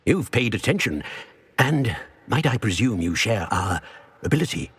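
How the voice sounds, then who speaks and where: A man playing an aged, grave and courteous wizard professor in a video game, speaking formally with a husky, grainy voice. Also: on the low side, sing-song, exaggerated voice. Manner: formal, measured, old-fashioned. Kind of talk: video game character acting.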